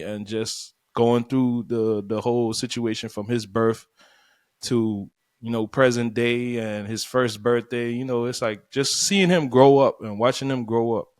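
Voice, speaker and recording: A man's voice: medium pitch, no room sound. A man co-hosting a podcast, talking in an easygoing way full of "man" and "you know.". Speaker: podcast co-host, music artist. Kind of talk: casual chat.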